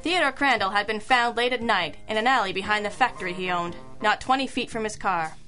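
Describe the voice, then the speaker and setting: Bright, wry girl detective played by a woman. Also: medium pitch, brisk, natural voice. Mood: wry, brisk. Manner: dry, wisecracking. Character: wry, self-assured girl detective in a hard-boiled mystery. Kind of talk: old-school radio detective narration. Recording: music under the voice.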